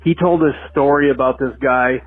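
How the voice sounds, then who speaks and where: A man's voice: mid-range voice, steady tone, normal speed, husky, dry recording, faint music behind. A man leaving a rambling, amused voicemail for a call-in show, laughing as he tells his story. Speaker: regular caller. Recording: tinny phone-line voicemail.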